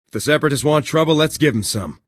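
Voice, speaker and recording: An actor playing an earnest, determined young soldier in a video game, speaking in a crisp, dutiful way. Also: male voice, on the high side, animated, fast talker, lightly acted. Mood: resolute.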